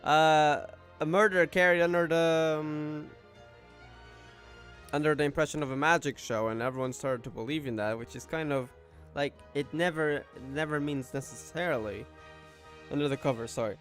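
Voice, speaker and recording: A man's voice: on the high side, steady tone, moderate pace, fairly bright tone. A man giving casual reaction commentary as he plays through a game, thinking aloud hesitantly. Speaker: gamer reacting to a story quest. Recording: game music behind.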